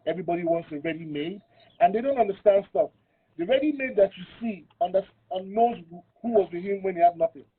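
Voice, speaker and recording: A man's voice: high-pitched, expressive, quick pace, slightly rough. A man debating on a podcast. He talks emphatically, repeats his points and keeps asking rhetorical questions. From London. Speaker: panel guest. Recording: tinny phone-line audio.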